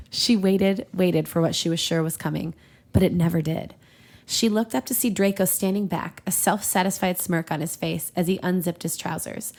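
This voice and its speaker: A woman's voice: fairly low pitch, steady tone, normal speed, darkish tone. A woman hosting a podcast, reading fan fiction aloud and breaking off into giggly, irreverent, conversational asides. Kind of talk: storybook-style reading mixed with casual banter.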